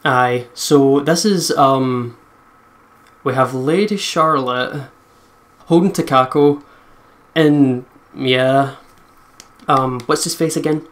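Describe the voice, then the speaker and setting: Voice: mid-range voice, takes it slowly. A let's play host commentating casually on a game in an informal, sweary way.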